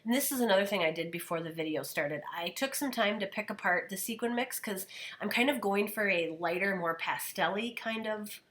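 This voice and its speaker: A woman's voice: mid-range voice, fairly quick. A woman who is losing her voice to a cold, chatting casually as she narrates her scrapbooking steps. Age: adult, parent of a daughter. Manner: chatty, relaxed, describing steps as she goes. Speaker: scrapbooking video host. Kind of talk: casual craft voice-over narration.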